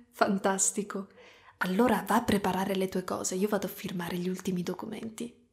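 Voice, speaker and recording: A woman voicing a gentle, doting spider-woman caretaker in a soft, cooing ASMR roleplay. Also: medium pitch, steady tone, normal speed, gravelly, close to an everyday voice. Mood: tender. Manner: soft, cooing, tender, sometimes whispering.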